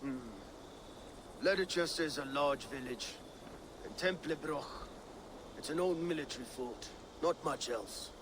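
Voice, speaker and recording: A man's voice: on the high side, measured pace, long pauses, noisy background, lightly acted. A man playing a dry, needling Viking warrior in a video game, terse and wry. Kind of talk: dramatic video game acting.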